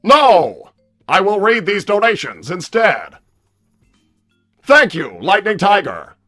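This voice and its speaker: A man voicing a loud, belligerent combat robot with stilted, mechanical, declamatory delivery in a livestream roleplay. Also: sits high, huge pitch swings, slow pace, faint music behind, full character voice. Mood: formal, blunt. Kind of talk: improvised character roleplay on a livestream.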